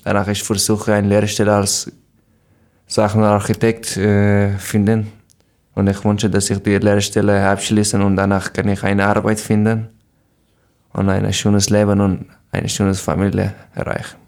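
A seventeen-year-old young man from Afghanistan, a podcast guest, speaking haltingly with frequent fillers. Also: fairly low pitch, completely flat delivery, darkish tone. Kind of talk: casual podcast interview.

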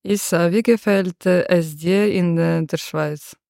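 A woman, hosting a student podcast in slow, careful learner's German with frequent pauses. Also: fairly low pitch, creaky, dark tone, no echo, studio quality. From Ukraine. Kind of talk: school podcast interview.

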